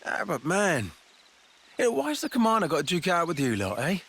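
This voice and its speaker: A man's voice: a little high, fairly quick, husky, heavily acted. A man voicing a chatty, matey young soldier in a video game cutscene, talking in a colloquial, fretful way. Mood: puzzled, brisk. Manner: colloquial, animated.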